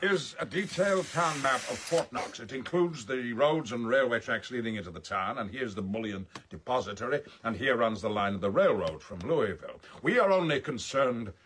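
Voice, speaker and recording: An actor playing a pompous criminal mastermind in a radio play, speaking with precise, formal, grandiose diction. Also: male voice, mid-range voice, lively intonation, moderate pace, few pauses, a bit bright, slight background noise, close to an everyday voice. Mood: commanding.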